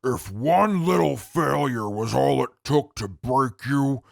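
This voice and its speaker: A man playing a stern, proud prisoner with a gravelly voice and a formal, lecturing way of talking in an audio drama. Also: medium pitch, slow, dry recording, studio quality. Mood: scornful, blunt. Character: stern, haughty male prisoner, proud and scornful. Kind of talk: post-apocalyptic audio drama acting.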